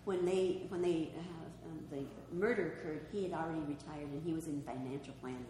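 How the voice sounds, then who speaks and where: A woman whose first language is Greek gives a history talk, reading a story and then answering audience questions with many pauses and ums. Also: deep voice, fairly even intonation, mellow. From New Mexico, USA. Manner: hesitant, with many ums and restarts when answering. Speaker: speaker giving a history talk. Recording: echoey hall, faint background noise.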